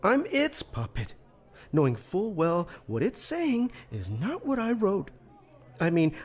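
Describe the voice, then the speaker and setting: Husky, haunted entertainer. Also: male voice, high-pitched, full character voice. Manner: agitated, confiding, emphatic. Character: paranoid, haunted male ventriloquist ruled by his dummy. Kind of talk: audio drama acting.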